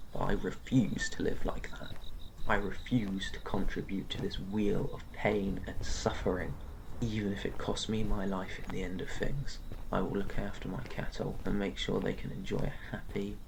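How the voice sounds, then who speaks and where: A man's voice: sits low, gravelly, background noise. A man playing a brooding, protective vampire in an intense fantasy ASMR roleplay. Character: brooding protective male vampire guarding his human flock.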